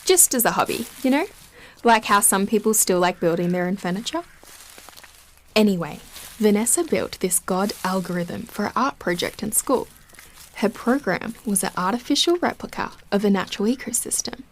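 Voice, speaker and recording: A young woman voiced by an actress with a medium-pitched voice in an audio drama. She comes across as guarded and matter-of-fact, keeping her delivery casual and conversational. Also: moderate pace, a little hiss or hum, lightly acted, poor audio. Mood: reminiscing.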